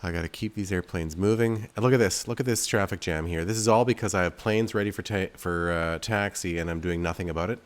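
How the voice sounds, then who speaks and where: A man's voice: deep voice, fast talker, hardly any pauses. A man giving casual gameplay commentary as a video host, rambling and thinking aloud in a self-deprecating way. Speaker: game developer and video host playing his game.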